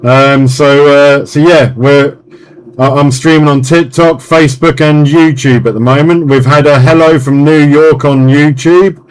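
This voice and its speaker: A man's voice: fairly high pitch, fairly even intonation, unhurried, smooth. A man hosting a CB radio net in casual chat, often stumbling and repeating his words, with a polite manner. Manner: hesitant, repeats words and phrases; polite, apologetic. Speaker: CB radio net host and live streamer. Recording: very noisy CB radio session with engine-like hiss. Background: loud radio noise and clicks.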